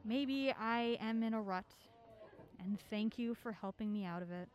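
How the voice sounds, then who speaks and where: A woman acting an anxious, quick-witted young woman on the run in a radio drama. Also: fairly high pitch, a little mellow, natural voice. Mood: relaxed, playful.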